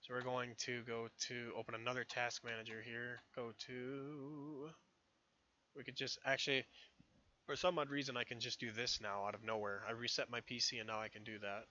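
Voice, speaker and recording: Brief: hoarse man, bright tone, offhand tutorial commentary full of swearing. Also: mid-range voice, level tone, moderate pace, muffled recording. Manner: rambling, profane, offhand. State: losing his voice, hoarse. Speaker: modding tutorial streamer. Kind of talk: casual screen-recorded tutorial commentary.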